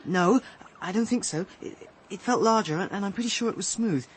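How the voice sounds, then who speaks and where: A man's voice: high voice, a little fast, slight background noise, lightly acted. An actor playing an eager, nervous teenage boy in a radio drama, earnest and hesitant.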